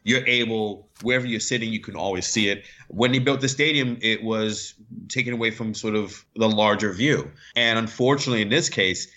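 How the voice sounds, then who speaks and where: Bright, low-voiced male sports guest, dull audio. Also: brisk. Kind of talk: conversational sports analysis.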